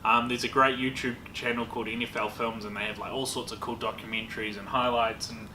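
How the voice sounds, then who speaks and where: Co-host: New man, bright and eager, rambly, echoey room. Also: medium pitch, flat delivery, quick pace. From New Zealand. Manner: enthusiastic, rambling with many ums. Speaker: podcast co-host. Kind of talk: casual chat. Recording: echoey room with faint noise.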